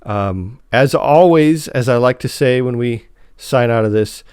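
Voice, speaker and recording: A man's voice: mid-range voice, unhurried, a little mellow, clean tone, dry recording, studio quality. A man hosting a podcast in a casual, informal and slightly rambling chat style. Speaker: podcast host.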